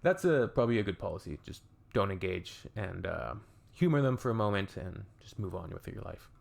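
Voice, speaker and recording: A man's voice: low voice, animated, fairly quick, vocal fry. A young adult man from Canada hosting a reaction video, chatting in a wry, think-aloud way. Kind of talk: casual reaction commentary.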